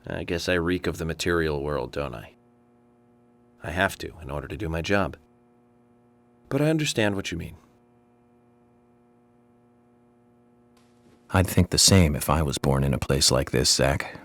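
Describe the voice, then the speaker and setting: A man playing an eccentric, polite FBI agent in a video game, speaking in a measured, deadpan, blithely morbid way. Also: very deep voice. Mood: calm, businesslike. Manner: deadpan, measured, matter-of-fact about grisly things. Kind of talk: video game cutscene acting.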